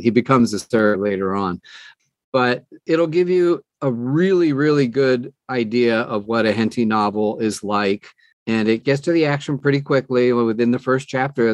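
A man's voice: medium pitch, no room sound. An older American man chatting casually as an interview guest. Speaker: guest, audiobook narrator and publisher.

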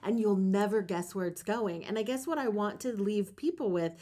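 A woman's voice: mid-range voice, moderate pace, warm tone. A woman hosting a podcast, talking conversationally about faith with a guest in an earnest, encouraging way. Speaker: podcast host.

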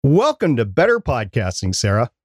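A man's voice: mid-range voice, wildly swooping pitch, moderate pace, husky, no room sound, clean studio sound. A man co-hosting a podcast, presenting prepared segments and interview questions. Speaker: podcast co-host.

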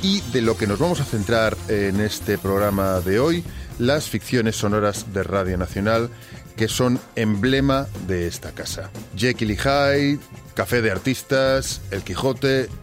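A man with a fairly low voice and a Spanish accent from Spain hosts an interview. He asks in a conversational way, with some music behind him. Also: expressive, measured pace. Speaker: interviewer, programme host.

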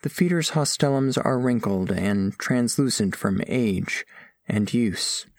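Formal alien scholar, dry and close. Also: male voice, medium pitch, lively intonation, dry recording. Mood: curious, observant. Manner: measured, formal, precise diction. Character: alien scholar envoy, earnest, reverent, gentle. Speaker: first-person narrator of a story. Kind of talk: scripted audio fiction narration.

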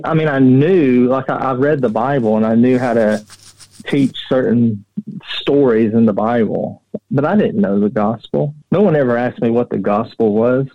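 A middle-aged man telling personal stories as an interview guest, plain-spoken and halting, often repeating words. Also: medium pitch, no echo. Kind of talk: casual personal storytelling. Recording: dull, muffled but dry audio.

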